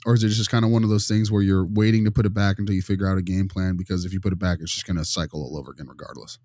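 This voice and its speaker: A man playing a friendly, tactful school consultant in a role-play, halting and often rephrasing his questions. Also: low voice, steady tone, darkish tone, no room sound, studio quality. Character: friendly, tactful male school behavior consultant probing gently. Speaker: visiting behavior consultant.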